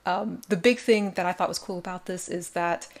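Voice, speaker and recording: A woman co-hosting a podcast in casual chat, her voice raspy and tired from days of talking, speaking with quick enthusiasm. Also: lowish voice, steady tone. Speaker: podcast co-host.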